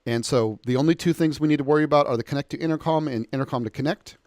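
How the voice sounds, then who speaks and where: An American man presenting a software tutorial in an off-the-cuff, conversational and explanatory way. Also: a little high, rapid-fire, no room sound, studio quality. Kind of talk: informal off-the-cuff software walkthrough. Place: indoors, close dry voice-over of a screen recording.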